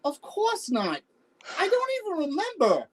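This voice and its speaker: A woman playing a defensive, evasive older husband, a Vietnam veteran, halting and exasperated in a naturalistic play reading. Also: high-pitched, huge pitch swings, full character voice. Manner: halting, defensive, repeats words. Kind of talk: virtual staged play reading, naturalistic dialogue.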